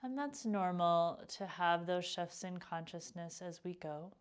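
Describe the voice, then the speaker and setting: A woman leading a guided meditation as a teacher, speaking in a calm, gentle, unhurried way with pauses. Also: lowish voice, clear, resonant tone. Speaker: yoga nidra meditation teacher.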